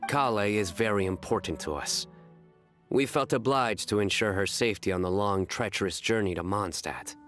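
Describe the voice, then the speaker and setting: A man voicing a stern young general with a deadpan, formal, measured manner in a video game. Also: lowish voice, soft background music, natural voice. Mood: formal, solemn. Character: stern, deadpan young male general who tells puns.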